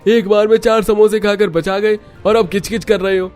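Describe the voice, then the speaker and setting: Man voicing a very high-pitched young cartoon man. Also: fairly even intonation, normal speed, a little mellow, lightly acted. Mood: indignant. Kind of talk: Hindi moral-story cartoon dub. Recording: music behind the voice.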